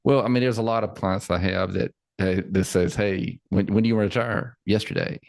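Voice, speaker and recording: Gravelly deep-voiced man in his forties. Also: steady tone, fairly quick, a little mellow, dry recording. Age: 40s. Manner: chatty, repeats words, laughs easily. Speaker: financial planner. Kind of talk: casual podcast chat.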